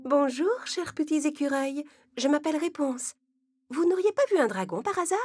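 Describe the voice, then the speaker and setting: A woman acts out a young fairy-tale princess with a very high, sweet voice. She is polite and girlish in the manner of a children's cartoon, with clear music behind her. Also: animated, normal speed. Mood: gentle.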